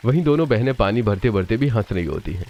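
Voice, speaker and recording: A man's voice: fairly low pitch, expressive, fast, a little mellow, dry recording, dull audio. A man reading scripted narration for a cartoon family story.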